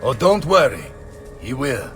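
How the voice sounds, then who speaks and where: An actor playing a bold, blustering Renaissance mercenary captain with an Italian accent in a video game. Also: male voice, medium pitch, very animated, measured pace, noisy background. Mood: confident, scheming. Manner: blustering, theatrical. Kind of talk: video game cutscene acting.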